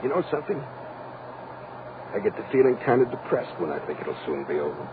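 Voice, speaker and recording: Through heavy hiss on a tinny vintage radio recording, a man plays a sixty-year-old army major aboard a rocket. He is breezy, folksy and optimistic, his mid-pitched voice swinging expressively in classic 1940s radio drama fashion. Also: big pitch swings, brisk, lightly acted. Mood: wistful. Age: 60s. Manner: folksy, informal, eager.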